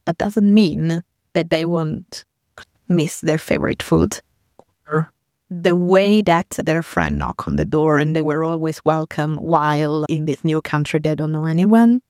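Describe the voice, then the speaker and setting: A woman's voice: low voice, lively intonation, unhurried, darkish tone, no echo, studio quality. A woman guest explaining her migrant support service in a reflective interview. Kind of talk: thoughtful interview talk.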